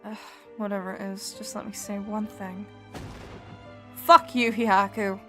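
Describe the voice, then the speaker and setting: A woman's voice: medium pitch, fairly even intonation, a little slow, pushed and bright. A woman with a mixed Scottish and Canadian accent voicing a tough young woman who was once in a gang, defiant and resolute, over game music.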